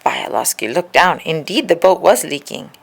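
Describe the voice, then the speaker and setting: Woman, lowish creaky voice, expressive children's read-aloud narration, deliberate phrasing. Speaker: storybook reader.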